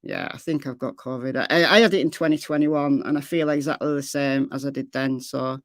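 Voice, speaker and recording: A middle-aged woman hosting a true crime livestream, chatting casually with viewers in a rambling way, her voice slightly hoarse. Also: extremely low pitch, steady tone, fairly quick, no room sound, studio quality. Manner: rambling, chatty, trails off into fillers.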